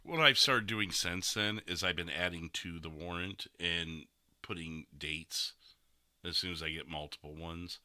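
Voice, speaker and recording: A man's voice: sits low, measured pace, bright, forceful tone, dry recording, studio quality. A man hosting a gaming podcast, chatting casually as a streamer about roleplay. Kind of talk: casual podcast chat over replayed gameplay.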